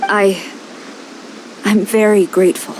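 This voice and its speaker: A woman's voice: medium pitch, fairly even intonation, a little mellow, poor audio. Stern, formal woman commander. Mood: formal, grateful. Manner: formal, measured, authoritative. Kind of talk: video game voice acting. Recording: clear ambient noise behind.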